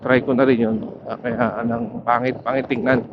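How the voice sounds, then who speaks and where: A man with a Filipino accent vlogging casually while riding a motorcycle, pausing often to search for words, speaking into a helmet intercom mic. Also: mid-range voice, flat delivery, highs cut off, background noise. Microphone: helmet intercom headset.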